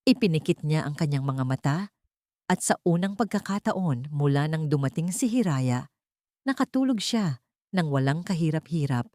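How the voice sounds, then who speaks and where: A woman's voice: deep voice, mellow, no room sound, clean studio sound. A woman narrating a scripted dramatic story as an audiobook storyteller.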